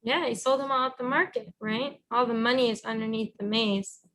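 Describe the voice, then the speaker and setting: A woman reading a storybook aloud as a teacher, encouraging and pausing to guide with questions. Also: on the high side, steady tone, fairly bright tone, a little hiss or hum. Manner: encouraging, instructive.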